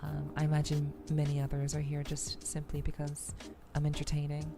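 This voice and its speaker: Woman vtuber just chatting on stream, low voice w fry, repeats herself while thinking. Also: monotone, normal speed, music in the background. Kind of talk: casual livestream chat.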